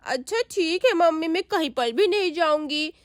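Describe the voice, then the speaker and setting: एक महिला एक छोटी गाँव की बच्ची की आवाज़ निकालती है, ऊँची और रुआँसी, डर के बावजूद हिम्मत रखती।. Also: female voice, expressive, moderate pace, no echo, clean studio sound. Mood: cheerful. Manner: high, tearful, pleading. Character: little village girl, frightened but brave. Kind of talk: storytelling voice acting.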